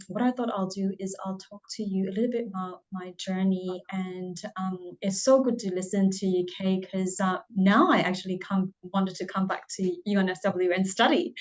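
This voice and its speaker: A woman from Burma, not an English speaker, tells her career story on a panel, earnest and warm, with many ums. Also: medium pitch, dull audio. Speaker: panel speaker, bank manager.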